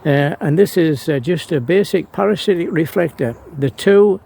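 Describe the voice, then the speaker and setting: A man gives a casual, unscripted show-and-tell in a halting, hesitant way, often pausing mid-sentence. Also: on the high side, animated, creaky, warm tone, breathy edge. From New Zealand. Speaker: amateur radio operator, presenter. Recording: noisy amateur home video.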